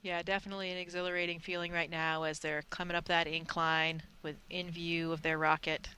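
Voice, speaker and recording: Bright smooth co-commentator voice, chatty and upbeat on a launch broadcast. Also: mid-range voice, little pitch movement, brisk. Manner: conversational and upbeat.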